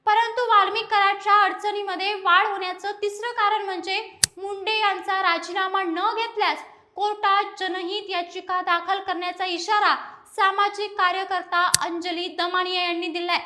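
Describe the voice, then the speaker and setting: A woman's voice: extremely high pitch, bright, forceful tone. A woman presenting a scripted news explainer.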